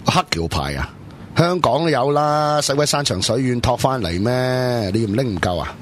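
A man's voice: medium pitch, very animated, normal speed, a little hiss or hum, close to an everyday voice. A man with a Hong Kong Cantonese accent, playing a blunt, mocking young man in a radio drama. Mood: scornful. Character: sarcastic young man teasing friends.

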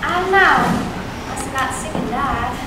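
A woman's voice: sits high, a little slow. A young woman acting a timid, dutiful, proper wife of the early 1900s, hesitant and earnest, in an amateur stage play. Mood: polite, hesitant. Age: young adult. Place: small theatre stage, filmed from audience. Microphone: distant camera. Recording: very echoey, noisy, distant stage recording.

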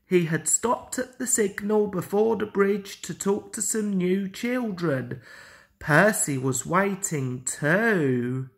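A woman reading a children's story aloud in an expressive, deliberate way. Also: medium pitch. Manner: slow, deliberate, animated delivery. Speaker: storybook reader.

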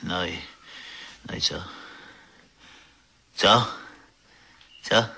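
A man in a 1970s Polish radio drama playing a drunken, wistful bar regular, halting and repeating his words, husky, moving between whispers and cries. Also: low voice, pushed and bright, full character voice. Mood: agitated, wistful.

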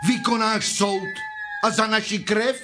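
A man's voice: sits high, fairly even intonation, natural voice. A man playing a martyred soul, imploring God in a dramatized scripture reading over music. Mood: anguished pleading. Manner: crying out, imploring. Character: martyred souls crying out to God, pleading.

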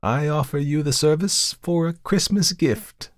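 A man voicing an unearthly devil-like stranger in a storybook, courteous, measured and knowingly insinuating. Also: fairly high pitch, no echo, clean studio sound, voice pushed up, natural voice. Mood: cool, persuasive. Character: unearthly male devil-like visitant, polite, calm, knowing tempter.

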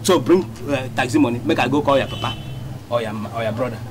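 An actor playing a bullying, mocking police officer, speaking with a Nigerian Pidgin accent. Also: male voice, medium pitch, fast, background noise, close to an everyday voice. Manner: gruff, mocking, threatening. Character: bullying male police officer, middle-aged.

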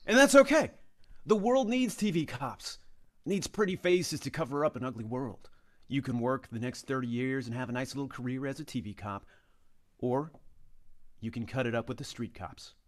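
A man playing a hard-boiled veteran eighties street cop, lecturing gruffly and emphatically in an action comedy table read. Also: mid-range voice, brisk, close to an everyday voice. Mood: grave. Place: indoors on a group video call.

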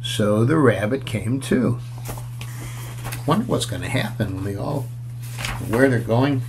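A man in his sixties reading a picture book aloud to children, playful and expressive, with casual asides as he goes. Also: medium pitch, unhurried, gravelly, noisy background. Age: 60s. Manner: expressive, playful, inviting listeners along. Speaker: children's storyteller. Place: small room at home, indoors.

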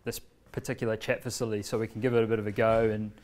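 A man, giving a casual workshop demonstration in a meeting room with many fillers and pauses. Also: fairly low pitch, level tone, darkish tone. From New Zealand. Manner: standing, walking between screen and laptop. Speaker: presenter demonstrating a learning tool. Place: bright medium-sized meeting room with a screen, indoors. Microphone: far camera.

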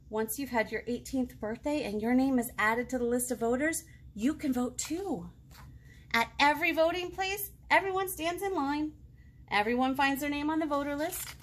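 An American woman with a high voice reads and chats as a children's librarian. She speaks expressively and keeps her phrasing simple, as if to a group of young children. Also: moderate pace, a little hiss or hum. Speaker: children's librarian hosting storytime. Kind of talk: children's storytime read-aloud and chat. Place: small indoor room, seated before a book display.

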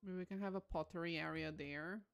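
A woman's voice: on the low side, steady tone, brisk, vocal fry, clear, resonant tone. A woman livestream host giving casual game commentary, musing aloud with enthusiastic exclamations.

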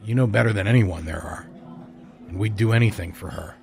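A man playing a steady, loyal, guarded friend in naturalistic audio drama dialogue. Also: fairly low pitch, fairly quick, vocal fry, a little hiss or hum. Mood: earnest, hesitant.

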